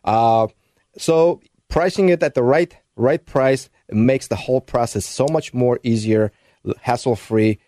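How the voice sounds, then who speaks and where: Realtor guy on a radio show, mellow voice, lots of you-knows, dry sound. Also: medium pitch, a little slow, no room sound. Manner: conversational, many fillers. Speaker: realtor guest. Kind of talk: radio talk show guest.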